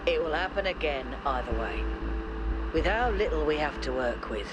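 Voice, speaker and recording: A man's voice: a little high, lively intonation, moderate pace, heavy background noise, lightly acted. A man playing a skeptical, wary team member in a video game, speaking pointedly. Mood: fatalistic. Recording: loud music over the voice. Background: loud game music.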